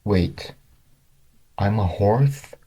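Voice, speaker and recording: A man voicing an excitable young male talking pony who knows his world, in a cartoon fan story. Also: on the low side, drawn-out words, slightly rough, exaggerated voice. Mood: surprised.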